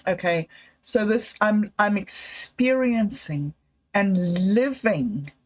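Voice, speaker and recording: A middle-aged woman hosting a livestream, talking in a halting, reflective way with restarts and apologies. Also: medium pitch, expressive, low-quality recording. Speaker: livestream host. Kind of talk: rambling personal livestream talk.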